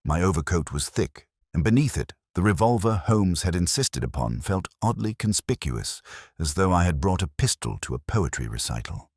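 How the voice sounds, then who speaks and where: A man narrating a scripted story in a measured, formal manner. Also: deep voice, big pitch swings, a little mellow, slightly rough, no echo, studio quality. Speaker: audiobook narrator.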